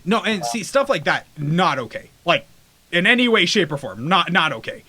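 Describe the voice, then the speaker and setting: A man's voice: high-pitched, sing-song, moderate pace, sharp tone, breathy edge, no echo. A man talking bluntly in a casual podcast chat, laughing often.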